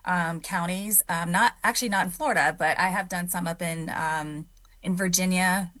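A woman's voice: on the low side, steady tone, moderate pace, fairly bright tone. A woman guest on a radio talk show, speaking in an upbeat, persuasive way. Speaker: guest, swimming advocate. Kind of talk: radio call-in discussion.

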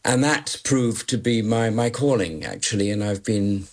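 A man's voice: fairly low pitch, animated, normal speed, darkish tone. A man in his sixties born in London, a journalist guest talking in a rambling, self-deprecating way with many restarts. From born in London, raised in Malaysia. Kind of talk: radio interview guest. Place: remote, joining from afar.

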